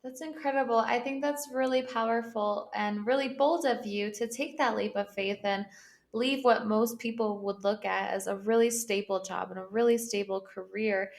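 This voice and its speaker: A woman's voice: medium pitch, fairly even intonation, moderate pace, creaky. A woman hosting an interview podcast, asking questions and responding in a conversational way. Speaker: podcast host.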